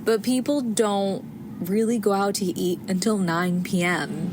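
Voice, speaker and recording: A woman's voice: medium pitch, steady tone, a little slow. Chatty American woman podcasting. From United States. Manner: chatty, many fillers and restarts. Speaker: podcast host. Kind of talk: casual solo podcast monologue. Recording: audible background noise.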